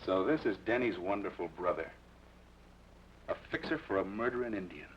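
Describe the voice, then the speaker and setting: A hard, commanding gunman in an old film drama. He is deep-voiced, terse and dryly sardonic. The sound is dull, with noise behind it. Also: expressive, normal speed. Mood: scornful. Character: tough, wry, commanding American gunman. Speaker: film actor.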